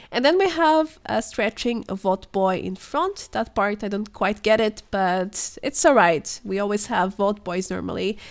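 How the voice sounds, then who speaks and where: A young woman, hoarse and sounding unwell, giving scripted voice-over commentary as a gaming news host, speculative and explanatory in a measured way. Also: mid-range voice. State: hoarse, voice weakened by illness.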